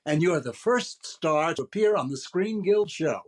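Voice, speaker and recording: A man's voice: on the high side, lively intonation, normal speed, lightly acted. An actor playing a genial male master of ceremonies on a 1930s Hollywood radio show, with polished, good-natured patter. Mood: upbeat, welcoming.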